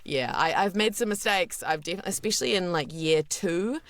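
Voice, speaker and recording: A woman with a naturally deep, low voice answers questions as a guest voice actor. She talks openly and thoughtfully, often restarting her sentences. The sound is dry and close. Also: animated, normal speed, dry recording. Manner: candid and reflective, with many fillers and restarts. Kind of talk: podcast interview.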